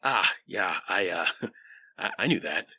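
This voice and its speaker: Low-pitched, fretful young father pony, played by a woman. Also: lively intonation, slow pace, bright, forceful tone, slightly rough, heavily acted. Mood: flustered. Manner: fretful, stammering when flustered. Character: anxious, doting young father pony prince. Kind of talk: animated audio drama acting. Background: music.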